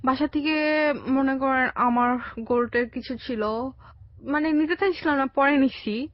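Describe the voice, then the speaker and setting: A young Bangladeshi woman with a fairly high voice recounts her own life as a guest. She talks in a halting, conversational way and drops in English words. The recording is dry and a little dull. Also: dry recording. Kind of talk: conversational personal storytelling. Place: radio studio. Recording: dry, slightly dull radio sound.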